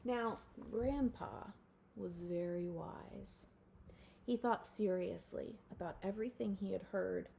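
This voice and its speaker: A woman reading a storybook aloud to children expressively, with animated asides to her listeners. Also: medium pitch, slow pace, creaky, a little hiss or hum. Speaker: storybook reader.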